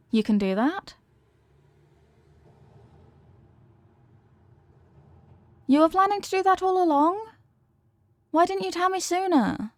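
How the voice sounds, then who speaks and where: A woman's voice: a little high, darkish tone, dry recording, clean studio sound, lightly acted. A woman playing an obsessively loving, possessive wife in an intimate ASMR roleplay, coaxing and melodramatic, lingering on her words. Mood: touched, delighted.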